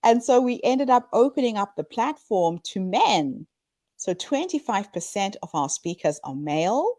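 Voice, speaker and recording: A woman's voice: mid-range voice, big pitch swings, normal speed, dark tone, dry recording. A woman in her fifties from Zimbabwe, a guest in an interview, telling her story in an earnest, upbeat way. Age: 50s. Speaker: guest, founder of a women's story platform. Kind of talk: conversational interview answers.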